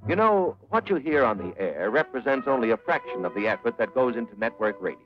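A man's voice: mid-range voice, big pitch swings, fast talker. A man with an American accent giving scripted narration in the style of a 1940s promotional film. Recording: dull vintage 1940s film soundtrack, music behind. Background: loud background music.

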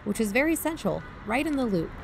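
A woman playing an earnest investigative podcast host, narrating in a composed, measured way. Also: fairly high pitch, very animated, vocal fry, background noise. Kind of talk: scripted narration in audio drama.

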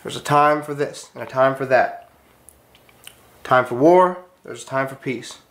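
A man's voice: medium pitch, unhurried, fairly bright tone. An American man teaching a class, giving a storytelling lecture in an earnest, emphatic manner with short declarative sentences.

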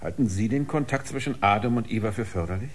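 An actor playing a stern, skeptical spaceship commander in a radio drama, speaking in a dry, formal manner. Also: male voice, on the low side, fast, husky.

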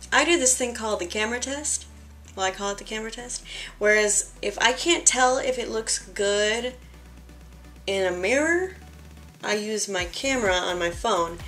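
A young woman talks through her makeup routine with a slightly raspy, worn-out voice. Her delivery is chatty and self-deprecating, with little asides as she applies products. She is recorded indoors in her bedroom on a camera close in front of her, with faint music underneath. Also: medium pitch, fairly bright tone. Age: young adult. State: tired. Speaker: beauty vlogger. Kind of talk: casual makeup tutorial. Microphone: camera in front at close range.

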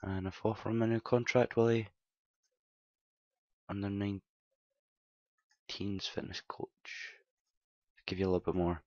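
Slightly hoarse man, low and dull. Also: steady tone, normal speed, pauses often, darkish tone, no echo. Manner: rambling, self-correcting, recapping results. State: slightly hoarse, dry throat. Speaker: game commentator. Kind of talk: casual gameplay commentary. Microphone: desktop mic at home, quiet.

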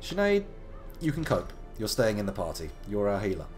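A man in his thirties talks his way through a live game stream, his voice gravelly from a slight cold. He chats playfully with lively swings in pitch, drifting into jokey asides. Faint game music sits behind him. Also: normal speed. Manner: chatty, playful, wandering asides. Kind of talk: casual live game commentary.

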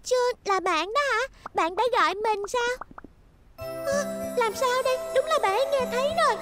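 A woman's voice: extremely high pitch, moderate pace, over loud music. A woman voicing a whiny, sensitive, bashful little girl in a childish cartoon dub voice. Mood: flustered.

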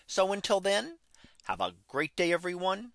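Lively bright-voiced man explaining astronomy. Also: high voice, moderate pace, vocal fry, no echo, clean studio sound. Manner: plain, patient explaining with occasional fillers and restarts. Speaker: podcast host explaining an astronomy image. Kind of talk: unscripted explanatory commentary.

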